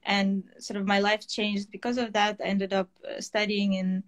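A woman's voice: mid-range voice, little pitch movement, normal speed. A woman in her thirties from Estonia, an artist answering interview questions on a video call, thoughtful with many hesitations. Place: home room, indoors. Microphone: laptop webcam on video call.

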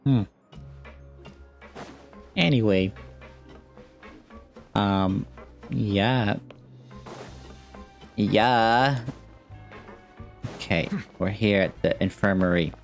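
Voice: sits low, drawn-out words, gravelly, pauses often, faint music behind. A young adult streamer giving casual gameplay commentary and reading game text aloud.

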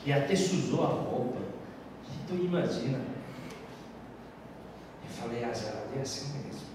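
Pastor in an echoey hall. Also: male voice, medium pitch, expressive. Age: middle-aged. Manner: earnest, halting phrasing with frequent restarts. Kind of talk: sermon testimony. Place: church stage with large hall. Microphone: handheld mic. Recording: echoey hall from far off with background noise.

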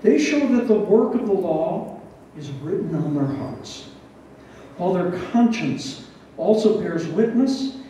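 A man in his sixties preaching an expository Bible study, reading and explaining scripture in a deliberate, teacherly way with rhetorical questions. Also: sits high, dark tone. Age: 60s. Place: barn hall with a stage, indoors. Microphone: headset. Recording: roomy, echoing, distant sound with faint noise.

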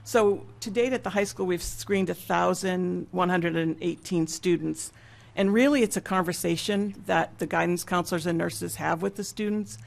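A woman giving an informal spoken report at a public school meeting, hesitant and pausing mid-sentence. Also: fairly low pitch, vocal fry. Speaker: school nursing leader presenting a report. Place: mid-sized meeting room with seated public. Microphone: gooseneck table microphone.

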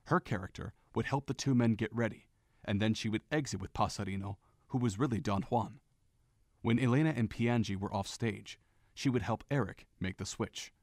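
A man narrating a fan audio drama in a steady storytelling manner, with faint music behind. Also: on the low side, moderate pace, dry recording, studio quality. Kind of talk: scripted narration.

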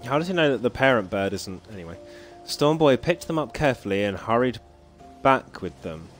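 A man's voice: medium pitch, very animated, fairly quick. A man streaming a game at home, chatting casually, musing aloud and reading on-screen story text, with frequent chuckles. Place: home, at the computer. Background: faint game music.

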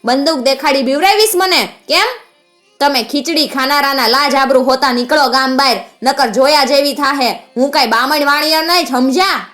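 Fierce, fearless village widow, played by a female storyteller: Kathiawadi accent, high-flung scolding and taunts, folk-tale drama. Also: moderate pace, hardly any pauses, fairly bright tone, soft background music. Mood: defiant taunting. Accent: Kathiawadi Gujarati. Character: fearless, furious village widow defying an outlaw.

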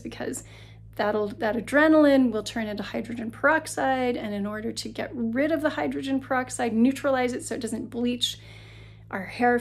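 A middle-aged woman talking casually in her bedroom, explaining things in a chatty way with frequent ums, recorded on a phone held at arm's length. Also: fairly high pitch. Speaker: vlogger. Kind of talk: casual informative vlog. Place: sunlit bedroom by a curtained window. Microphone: phone camera held at arm's length.

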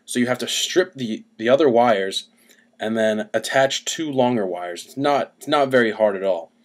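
A man's voice: medium pitch, moderate pace. A young adult man giving a casual how-to explanation, speaking into a webcam at home. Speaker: DIY music gear demonstrator. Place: small cluttered room at home. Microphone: webcam about arm's length away.

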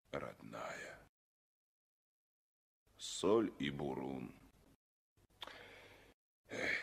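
Grumbly sad sailor cart driver, really slow n swingy, husky, 60s soviet radio play. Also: male voice, rumbling low, heavily acted. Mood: sorrowful, wistful. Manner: grumbling, slow, drawn-out calls to his horse. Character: gruff, heartsick sailor turned bathhouse cart driver. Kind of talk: 1960s Soviet radio drama acting.

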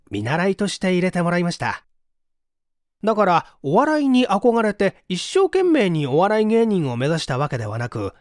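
A man narrating a book as scripted audiobook reading, expressive and clearly articulated. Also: sits high, no room sound, studio quality.

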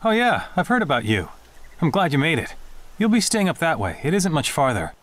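A man's voice: on the high side, huge pitch swings, brisk, a bit bright. A man voicing a friendly village guard ninja in an anime game dub. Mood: welcoming.

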